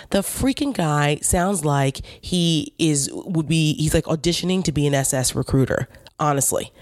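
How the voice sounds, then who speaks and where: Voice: mid-range voice, a little mellow, dry recording. A commentator with a New Jersey accent, hosting a casual political monologue in a blunt, indignant way and swearing freely. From New York / New Jersey area.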